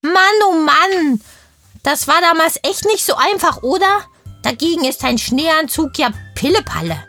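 Very high-pitched chatty little girl. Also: expressive, measured pace, pushed and bright, soft background music, heavily acted. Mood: put-upon, plucky. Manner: chatty, childlike slangy German. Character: cheeky, curious kindergarten girl named Lotte. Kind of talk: children's audio play interview.

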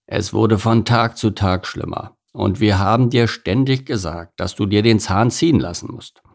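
A man voicing a calm, sensible male plough horse with a grumbly, patient manner in a storybook. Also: moderate pace, creaky. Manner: low grumbling, sighing, patient. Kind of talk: storybook character voice.

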